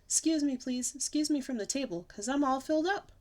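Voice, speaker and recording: A woman voicing a bossy, excitable little girl in an audiobook, with loud, wheedling kid chatter. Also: sits high, fairly quick, pitched above the performer's own voice, natural voice. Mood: excited. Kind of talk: audiobook character voice.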